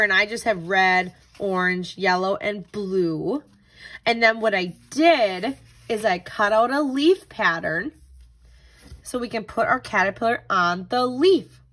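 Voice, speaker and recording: A woman's voice: mid-range voice, a bit bright. A woman tutor reading aloud and giving simple step-by-step instruction for young children. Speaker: tutor reading a picture book and leading a craft.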